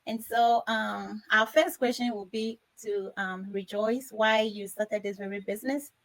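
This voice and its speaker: A woman's voice: medium pitch, steady tone, a little slow, a bit bright, rough recording. A woman from Ghana hosting a live panel discussion in an effusive, grateful manner. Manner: effusive, repeatedly thanking. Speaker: host.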